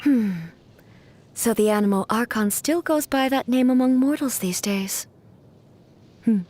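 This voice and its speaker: A woman playing a stern, solemn warrior ruler in a video game, speaking in formal, measured, stately phrases. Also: fairly high pitch, a little mellow, close to an everyday voice. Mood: solemn, reflective. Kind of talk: scripted video game character voice lines.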